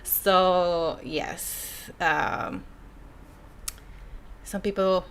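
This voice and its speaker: A young woman from Mexico with a Mexican Spanish accent, her first language being Spanish, reads viewer comments on a casual live stream. Her voice is bright and clear. She chats loosely, sighing and laughing between thoughts. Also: lowish voice, fairly even intonation, extremely slow, slight background noise. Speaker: YouTuber reading viewer comments.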